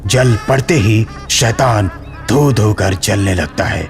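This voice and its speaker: A man's voice: fairly low pitch, lively intonation, slow, in a large hall, over music. A man giving scripted narration for a horror story.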